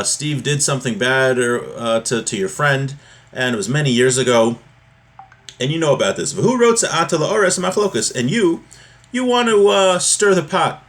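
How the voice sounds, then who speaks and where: A man teaching an informal religious lesson, reading from a text and explaining it in a halting, repetitive, conversational way. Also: medium pitch, fairly bright tone.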